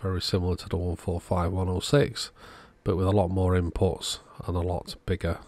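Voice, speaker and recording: A man's voice: deep voice, flat delivery, moderate pace, a little mellow, no room sound. A man narrating a repair job in a casual, matter-of-fact, understated way. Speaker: host of electronics repair channel.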